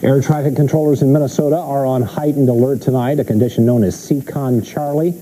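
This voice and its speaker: A man's voice: medium pitch, fairly even intonation, fairly quick, dark tone, a little hiss or hum, rough recording. An American man anchoring a TV newscast with scripted delivery. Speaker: news anchor.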